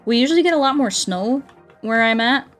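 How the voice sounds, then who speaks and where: A woman's voice: a little high, expressive, fairly quick. A young American woman chatting casually on a livestream, rambling along and acting out bits of what people said. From United States. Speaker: streamer. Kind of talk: casual livestream chat while gaming. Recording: music playing behind the voice. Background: background music.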